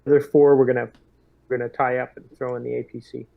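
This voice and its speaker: A man in a tabletop roleplaying game, speaking as a lieutenant in deliberate, hesitant military talk. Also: mid-range voice, little pitch movement, vocal fry, phone-quality sound.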